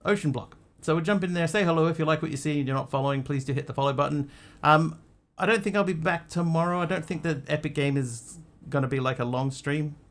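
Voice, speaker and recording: A man's voice: fairly high pitch, fast, hardly any pauses. A man who grew up in Australia, chatting casually to his stream audience in a rambling, hesitant way with lots of ums and restarts. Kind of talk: casual live-stream chat.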